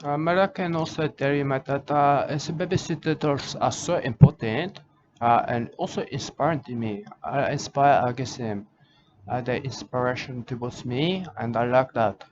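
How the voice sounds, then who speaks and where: A man's voice: medium pitch, normal speed, natural voice. A man voicing a boastful, rambling Australian wildlife-loving bloke with an Australian accent, in broken English, saying "mate" constantly. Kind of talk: comedy character monologue. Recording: muffled lo-fi with steady background noise.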